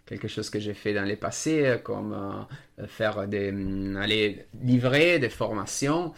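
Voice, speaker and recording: Slow, halting Italian-native man. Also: lowish voice, creaky. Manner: slow, halting, many hesitations. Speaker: association member. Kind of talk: radio discussion.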